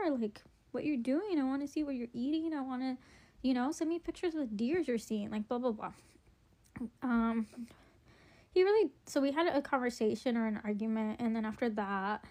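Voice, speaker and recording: A woman's voice: a little high, a little mellow. A young woman chatting casually through a relationship storytime while doing her makeup, rambling with lots of ums and likes and a wry edge.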